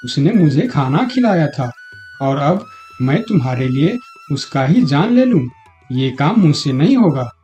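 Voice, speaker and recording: A man's voice: on the high side, sing-song, unhurried, dark tone. A man playing a meek, devoted crocodile husband in a moral-story cartoon, earnest and pleading in manner. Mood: distressed pleading. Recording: dull lo-fi audio, music behind.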